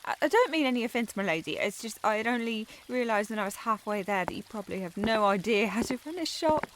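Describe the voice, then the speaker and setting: A woman's voice: on the high side, lively intonation, fast talker, close to an everyday voice, poor audio. A woman playing an earnest, deferential young shop girl in a historical audio roleplay, polite and eager to please.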